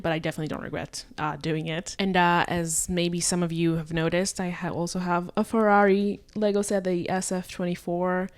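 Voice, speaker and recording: A woman's voice: fairly low pitch, clear, resonant tone. A woman with a German-like accent giving a casual, chatty, opinionated recap as a motorsport vlogger.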